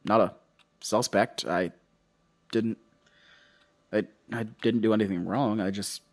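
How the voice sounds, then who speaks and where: An actor playing a troubled, defensive investigator in a horror audio drama, halting, rambling and confessional. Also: male voice, lowish voice, steady tone. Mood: nervous, defensive. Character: troubled, defensive male investigator recounting his case.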